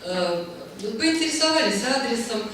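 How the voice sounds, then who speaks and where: A woman tells a chatty, wandering story at a book presentation, often pausing to find her words. Her tone is bright and forceful. She is heard from some way off in a booming, echoey hall, with faint noise underneath. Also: expressive, recorded from a distance. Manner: chatty, rambling, frequent hesitations. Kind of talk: anecdotal storytelling talk.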